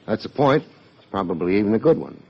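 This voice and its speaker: An American actor playing a wry, hard-boiled private detective in an old radio drama, with dry, needling delivery. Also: male voice, lowish voice, sing-song, fast talker, gravelly, breathy edge, exaggerated voice. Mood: sardonic. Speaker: private investigator and narrator. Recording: dull vintage radio recording.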